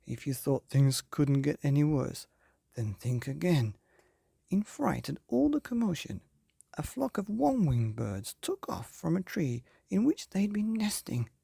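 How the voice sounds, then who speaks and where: A man tells a children's bedtime story as a podcast host. His voice is husky and swings widely in pitch, theatrical with drawn-out words and hushed phrases. The sound is close and dry. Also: sing-song, no room sound.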